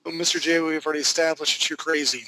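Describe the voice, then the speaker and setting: A man's voice: fairly high pitch, steady tone, quick pace, bright, forceful tone, slight background noise, poor audio. A man in his thirties teaching a live drawing class, talking through each stroke with chatty joking asides. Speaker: drawing instructor.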